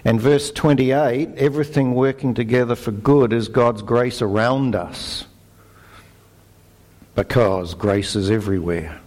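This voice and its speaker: A middle-aged Australian man giving a conversational sermon, relaxed and self-deprecating, with fillers and restarts. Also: medium pitch, measured pace. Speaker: preacher.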